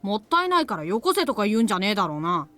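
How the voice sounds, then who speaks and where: A woman voicing a short, rude, arrogant schoolboy in a Japanese visual novel, brusque and rough. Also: medium pitch, big pitch swings, very fast, a bit bright. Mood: sullen, suspicious. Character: cocky, rude, short schoolboy, bento shop owner's son. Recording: game audio with music behind.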